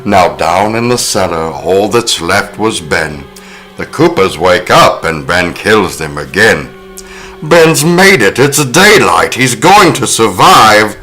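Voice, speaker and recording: A man hosting a podcast in casual chat, telling stories in a lively, profane way. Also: on the low side, slow, soft background music. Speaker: podcast host.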